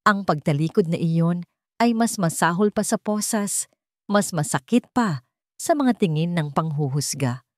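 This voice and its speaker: Casting: Filipino woman, lower register, solemn and emotive dramatic story narrator, very dry close sound. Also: animated, unhurried, a little mellow, dry recording.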